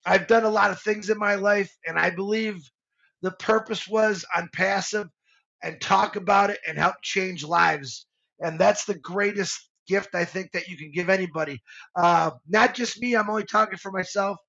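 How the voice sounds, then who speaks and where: Gravelly-voiced man in his fifties. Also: sits high, moderate pace, sharp tone, muffled recording. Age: 50s. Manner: heartfelt, rambling, with many restarts and fillers. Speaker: co-host of a live show. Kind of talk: casual live-stream talk.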